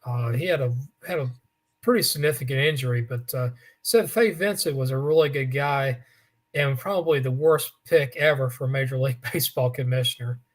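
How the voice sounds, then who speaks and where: Raspy rambling man on a sports show. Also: medium pitch, brisk. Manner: rambling storyteller, many restarts and fillers. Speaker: co-host. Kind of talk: casual sports talk.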